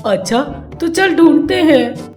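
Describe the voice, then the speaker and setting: A woman voicing a scolding, greedy older mother-in-law in an animated fairy tale, blunt and blaming. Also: high voice, takes it slowly, faint music behind, full character voice. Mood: blunt, dismissive. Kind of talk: animated Hindi story voice acting.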